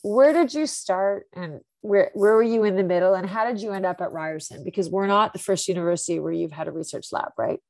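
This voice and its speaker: A woman's voice: medium pitch, brisk, few pauses, darkish tone, dry recording. A woman hosting a webinar who asks her guest questions with enthusiasm and curiosity. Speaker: webinar host, interviewer. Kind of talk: moderating a webinar Q&A.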